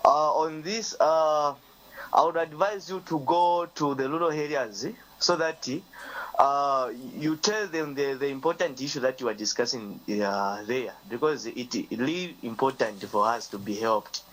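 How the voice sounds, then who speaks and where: A man's voice: medium pitch, measured pace, fairly bright tone, low-quality recording. A man phoning in to a talk show, speaking hesitantly. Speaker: caller. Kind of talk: phone-in contribution.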